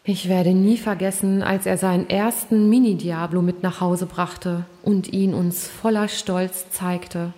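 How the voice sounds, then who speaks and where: A woman's voice: fairly low pitch, steady tone, a little slow, hardly any pauses, dark tone, lightly acted. A woman playing a solemn, wistful ancient dragon in a German fantasy parody audio drama. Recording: long hall reverb.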